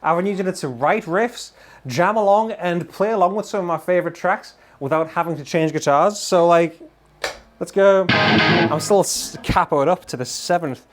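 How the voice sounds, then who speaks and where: An enthusiastic British man talks through guitar gear in an informal, chatty way. His voice sits a little higher than most men's. Faint electric guitar music is heard behind him. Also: normal speed. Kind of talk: informal product demonstration. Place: small home studio full of guitars and amps. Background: electric guitar playing.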